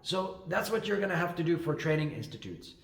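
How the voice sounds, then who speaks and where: Man, higher-pitched voice, casual advice, rambling with fillers, echoey room. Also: lively intonation, fairly quick, creaky. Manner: rambling, with frequent fillers and restarts. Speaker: ESL teacher and channel host. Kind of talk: casual advice talk to camera.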